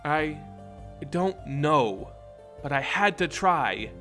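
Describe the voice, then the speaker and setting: A man voicing an earnest, conflicted werewolf heroine in an audio story, halting and heartfelt. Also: on the high side, expressive, pushed and bright, exaggerated voice. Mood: regretful. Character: earnest, troubled werewolf woman, idealistic and conflicted. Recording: music behind the voice.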